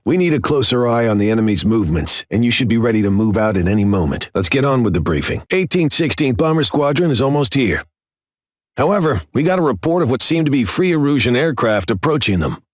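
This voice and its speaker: An actor playing a stern, composed air force commander, giving a formal, measured mission briefing in a video game with an authoritative delivery. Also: male voice, medium pitch, no room sound, clean studio sound, lightly acted. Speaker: military briefing officer.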